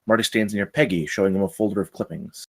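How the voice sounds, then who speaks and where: A man's voice: on the low side, fast, creaky. A man reading stage directions as the narrator of a screenplay table read.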